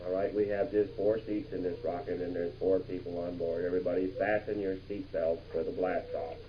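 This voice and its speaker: A man playing a brisk astronaut commander in an improvised pretend radio play, announcing in a mock-official, dramatic way. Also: low voice, little pitch movement, pitched below the performer's own voice, close to an everyday voice. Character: brisk male astronaut commander running a rocket launch. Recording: muffled, noisy 1960s home tape.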